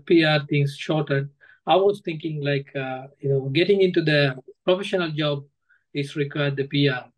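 A man in his thirties with a Sri Lankan accent, giving hesitant, halting interview answers on a video call as a guest. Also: a little high, rough recording. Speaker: guest, former coaching student. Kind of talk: interview answers, personal account. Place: indoor room, on a video call.

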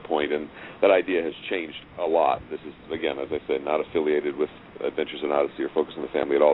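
A man with an extremely deep voice talks as an interview guest. He explains in a halting, conversational way, doubling back on words. The line is thin and phone-like, with faint noise underneath. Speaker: interview guest, audio drama writer and producer. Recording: noisy, tinny phone-like call line.

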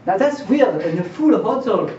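A young man with a slightly high voice lectures informally, posing questions and checking in with his listeners. He is picked up from a distance in a small seminar room, with strong echo. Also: animated, moderate pace, darkish tone. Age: young adult. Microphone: far camera. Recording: distant roomy mic, dull, faint noise.

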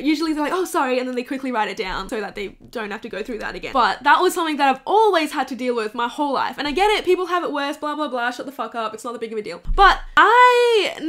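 A woman's voice: high-pitched, pushed and bright. A woman, talking candidly and swearing freely in a bedroom to her camera. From Hong Kong. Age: 20s. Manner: candid, swears freely, laughs through emotional bits. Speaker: vlogger. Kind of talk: casual confessional chat to camera.

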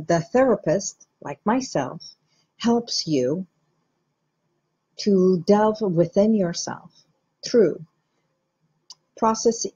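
A middle-aged woman from Iran, a hypnotherapist, explaining the mind to viewers in a slow, measured, guiding way. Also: lowish voice, dark tone, husky, dull audio. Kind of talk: teaching talk to camera.

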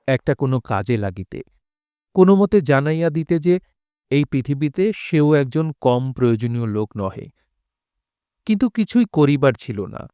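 A man reading a scripted literary story as narrator, in measured, formal diction. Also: medium pitch, warm tone, no room sound. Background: faint music.

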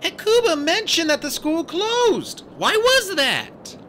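A man's voice: very high-pitched, wildly swooping pitch, takes it slowly, bright, forceful tone, a little hiss or hum, pitched above the performer's own voice, exaggerated voice. A man reading an audiobook voices a clever, curious teenage girl asking probing questions.

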